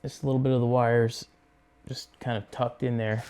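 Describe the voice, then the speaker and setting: A man's voice: mid-range voice, flat delivery, mellow, clean tone. A man narrating a hands-on install tutorial, talking casually and without hurry through each step as he works. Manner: unhurried, talks through each step while working with his hands. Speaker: host of a motorcycle gear install video.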